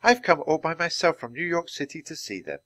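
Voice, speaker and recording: A man's voice: on the high side, sing-song, a little fast, fairly bright tone, no room sound, pitched above the performer's own voice. A man voicing an elegant older lady who speaks in a genteel, gracious manner.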